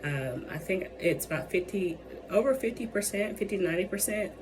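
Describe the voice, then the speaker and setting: A woman's voice: medium pitch, lively intonation, fairly quick. A young woman who is losing her voice, giving a hesitant student presentation full of ums and restarts. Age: young adult. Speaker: student presenting a capstone project. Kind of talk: presentation with many fillers. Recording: noisy background ambience.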